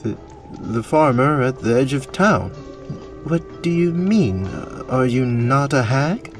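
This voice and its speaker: A man's voice: mid-range voice, animated, slow. A man playing a proud but easily flustered young prince in an audio drama. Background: music.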